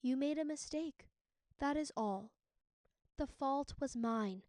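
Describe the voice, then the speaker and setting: Woman reading as a measured, forgiving mythical husband, high-voiced. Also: darkish tone, no echo. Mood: consoling. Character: forgiving, resigned male titan husband of myth.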